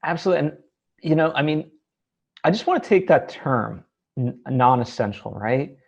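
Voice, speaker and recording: A man's voice: on the high side, lively intonation, moderate pace, creaky, slightly rough. A man hosting a casual interview, talking in a discursive way and often checking in with his guest. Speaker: interview host. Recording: slightly dull, lo-fi sound.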